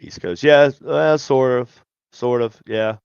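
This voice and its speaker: A man's voice: mid-range voice, takes it slowly, vocal fry, a little mellow, smooth, no echo, lo-fi sound. A man with a Southern US accent co-hosting a casual podcast chat, talking animatedly with stammered restarts and chuckles. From American South. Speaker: podcast co-host.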